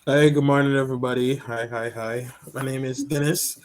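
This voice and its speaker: A man's voice: medium pitch, fairly even intonation, moderate pace, a little hiss or hum, low-quality recording. Cheerful young man from the Caribbean. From Saint Kitts and Nevis. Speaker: PhD student on a panel.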